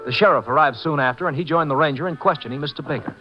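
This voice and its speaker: An actor as the sober narrator of a crime story in a 1950s radio drama. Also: male voice, on the high side, very fast, lightly acted. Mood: sober, urgent. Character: brisk, sober narrator of a true crime tale. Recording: muffled old-time radio recording. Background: music.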